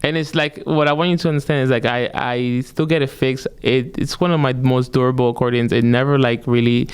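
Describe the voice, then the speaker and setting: Clear-voiced, rambling accordionist guest. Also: male voice, medium pitch, brisk. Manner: relaxed, rambling, with many fillers and restarts. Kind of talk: casual storytelling interview.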